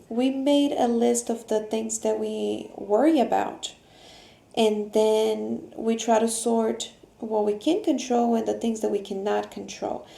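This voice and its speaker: A woman teacher explaining things casually to children in a halting way, pausing mid-sentence. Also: fairly high pitch, gravelly, warm tone. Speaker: teacher hosting a video lesson. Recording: roomy echo.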